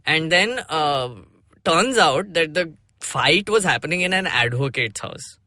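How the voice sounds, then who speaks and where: A man's voice: on the high side, animated, measured pace, bright, forceful tone, dry recording, studio quality. A man with an Indian accent, a podcast host chatting casually and animatedly, mixing Hindi phrases into English. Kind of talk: casual personal storytelling and discussion.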